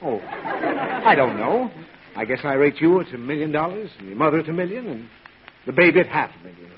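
High-pitched exasperated radio dad. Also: male voice, sing-song, a little fast, a crowd in the background. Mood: resigned. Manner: groaning, sputtering, exasperated outbursts. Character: exasperated, hungover, short-tempered father. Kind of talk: 1940s radio comedy acting. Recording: muffled, noisy old radio show with audience.

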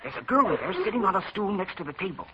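An actor playing an eager, excitable young male sidekick in a 1940s radio drama. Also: high-pitched, rapid-fire. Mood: anxious eager. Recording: dull lo-fi old radio broadcast with hiss.

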